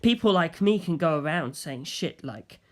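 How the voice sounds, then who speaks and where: Male audiobook narrator, somewhat high register, first-person fiction read from a script. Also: expressive, normal speed.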